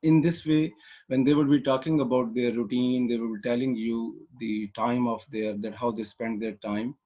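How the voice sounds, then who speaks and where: A man from Pakistan teaches English online, talking through each step in a mid-range voice. He fills pauses with "uh" and often restarts sentences as he explains. The call audio sounds a little dull and muffled. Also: medium pitch, steady tone. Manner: explanatory, with frequent fillers and restarts. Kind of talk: online lecture.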